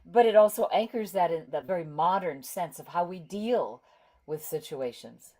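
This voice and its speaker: A woman actor chatting casually over a video call after a table read, expressive and given to exclamations. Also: fairly low pitch, moderate pace. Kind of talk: casual discussion after a reading.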